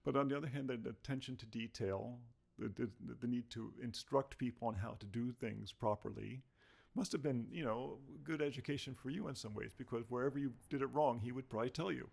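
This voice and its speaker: A man's voice: mid-range voice, a little fast, vocal fry, a little mellow. A middle-aged man hosting an interview show, chatty, thinking aloud and repeating words as he goes. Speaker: show host. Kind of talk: interview host.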